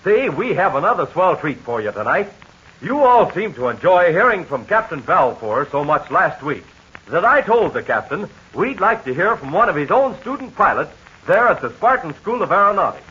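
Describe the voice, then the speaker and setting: Male radio announcer, 1940s, husky and animated, muffled old disc sound. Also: sits high, moderate pace. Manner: lively, salesmanlike. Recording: dull old radio transcription.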